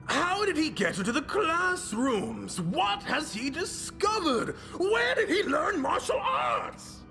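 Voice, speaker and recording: An actor playing an imperious, gleeful villain boss in a video game, with theatrical, drawn-out emphatic delivery over music. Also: sits high, big pitch swings, gravelly, bright, forceful tone, exaggerated voice. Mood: intrigued, demanding. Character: scheming villainous boss plotting super soldiers, imperious, gleeful.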